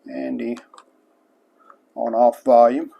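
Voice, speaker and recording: A man's voice: mid-range voice, completely flat delivery, takes it slowly, creaky, dull audio. A man casually narrating his own hands-on restoration work as a hobbyist host, in a plain-spoken, show-and-tell way.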